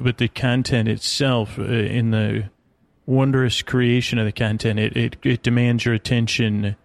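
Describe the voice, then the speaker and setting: Creaky-voiced man rambling as a sleep podcaster. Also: medium pitch, dry recording. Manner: meandering, halting, stammering, digressive. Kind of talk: rambling bedtime monologue.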